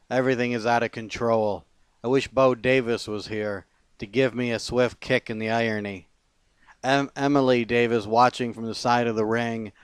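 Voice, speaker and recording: A man reading his own poetry aloud as spoken word, phrasing it deliberately in short lines with pauses between them. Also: medium pitch, smooth, dry recording. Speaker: poet.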